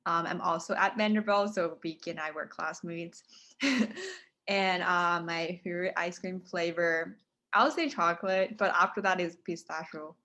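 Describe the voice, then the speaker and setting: A woman's voice: fairly low pitch, moderate pace, sharp tone. A young woman from China, a medical student introducing herself casually on a panel. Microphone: video call.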